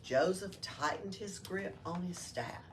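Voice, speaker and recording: A woman's voice: lowish voice, unhurried. A woman reading a storybook aloud to children as a library storyteller. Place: indoors in a library. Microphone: distant room mic. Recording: distant mic with faint background noise.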